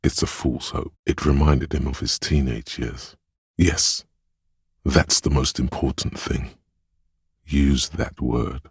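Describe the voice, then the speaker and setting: An actor playing a grave, compassionate inner voice of empathy in a game, narrating in a measured, solemn and tender way. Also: male voice, very deep voice, steady tone, breathy edge, no room sound, clean studio sound, heavily acted. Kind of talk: dramatic game narration, second person.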